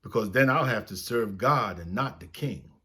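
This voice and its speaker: A man's voice: on the low side, animated, moderate pace, a bit bright. A man voicing a devout, unyielding medieval archbishop in a storybook, speaking in firm, solemn declarations. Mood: firm warning.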